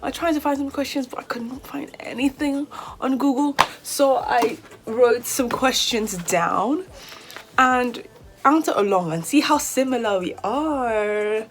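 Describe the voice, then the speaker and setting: A woman's voice: on the high side, a little slow. Chatty young British-accented woman. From Nigeria. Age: 20s. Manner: chatty and lively, sometimes flustered and rambling. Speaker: beauty vlogger. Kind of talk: casual chat to camera.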